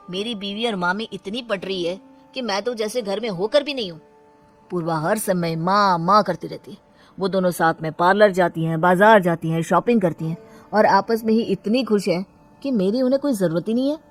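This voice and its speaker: A woman's voice: mid-range voice, fairly quick, close to an everyday voice. A woman voicing a sulky young newlywed husband who feels neglected, in an audio story. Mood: aggrieved. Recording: music under the voice.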